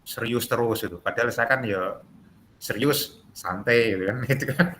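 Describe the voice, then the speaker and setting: A man with a thick Javanese accent leads an online class over a video call, chatty and full of jokes. His voice is bright and pressed. A faint hiss of background noise sits under it. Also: medium pitch, normal speed. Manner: jokey, chuckling, chatty. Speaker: course facilitator. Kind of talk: joking online class chat.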